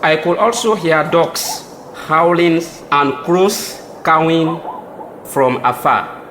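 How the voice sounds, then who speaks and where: A reassuring, devout chief, acted by a man, speaks with a Sierra Leonean accent and widely swinging pitch. It is a formal, solemn audio drama performance, recorded in an echoey room with faint noise. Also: lively intonation, slow pace, fairly bright tone. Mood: ominous, prayerful. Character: polygamous male chief, reassuring, devout husband.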